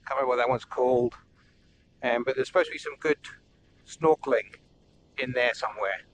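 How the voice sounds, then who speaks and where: A man in his fifties, chatting casually to camera while sailing alone, rambling with lots of ums and pauses. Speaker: solo sailing vlogger. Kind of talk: casual running commentary to camera. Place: outdoors on a sailboat on open water. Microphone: action camera on the boat. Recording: wind and boat engine rumble behind.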